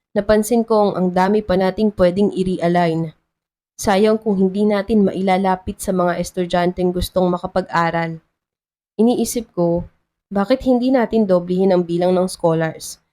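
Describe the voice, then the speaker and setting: A woman's voice: on the low side, normal speed, dark tone, natural voice. A woman storyteller voicing a kind, polite and earnest male town mayor in a romance story. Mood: hopeful.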